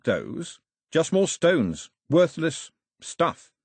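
A man voicing a serious, proud young island chief with a measured, blunt way of speaking. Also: medium pitch, very animated, breathy edge, dry recording, clean studio sound. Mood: indignant.

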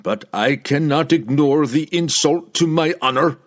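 A man's voice: medium pitch, slow, pitched above the performer's own voice. A man voicing a proud, hot-headed young barbarian in a fantasy audiobook, accusing and formal in his phrasing. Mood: indignant.

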